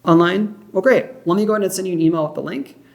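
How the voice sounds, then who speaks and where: A man's voice: sits high, fast talker, creaky, darkish tone. A young man working as a mortgage loan officer, explaining loan options to a client in an upbeat, reassuring way and affirming often. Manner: frequent affirmations like perfect and great. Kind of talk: one-sided mock phone consultation.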